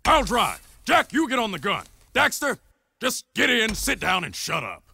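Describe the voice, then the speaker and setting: A man playing a rugged, eccentric wasteland driver in a video game, bossy and forceful. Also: high voice, huge pitch swings, normal speed, vocal fry, a little hiss or hum, heavily acted. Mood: bossy, rowdy. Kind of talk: exaggerated game character acting.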